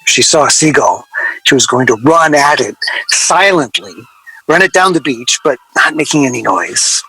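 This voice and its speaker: A man playing a wry, middle-aged record-label veteran in a radio drama, reminiscing to an interviewer in a halting, digressive way. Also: medium pitch, lively intonation, bright, forceful tone, no echo, heavily acted, low-quality recording. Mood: wry reminiscence. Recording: location recording with clear background noise.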